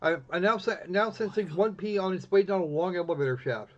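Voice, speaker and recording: High-voiced man streaming, dull lo-fi sound. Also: animated, brisk. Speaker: game streamer, host. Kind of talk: casual live commentary on a visual novel, reading text aloud.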